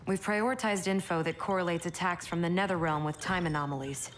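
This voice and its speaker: Woman acting a low-voiced, brisk military officer. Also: slight background noise, lightly acted. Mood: businesslike. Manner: businesslike, matter-of-fact. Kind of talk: cinematic video game acting. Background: faint music.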